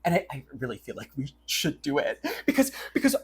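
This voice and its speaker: A woman's voice: rumbling low, very animated, a little fast, breathy edge. A woman co-hosting a podcast in casual, opinionated banter, peppering her sentences with "like.". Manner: conversational, heavy use of "like". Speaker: podcast co-host.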